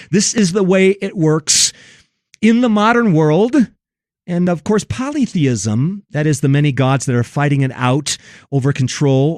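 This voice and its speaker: A man's voice: medium pitch, darkish tone, dry recording, clean studio sound. An American man hosting a religious talk radio show, speaking emphatically and rhetorically to his listeners.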